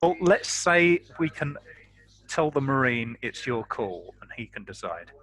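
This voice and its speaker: Male tabletop player, bright and forceful voice, mid pitch, casual group chat. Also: very animated, unhurried, low-quality recording. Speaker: tabletop game player, ship's captain.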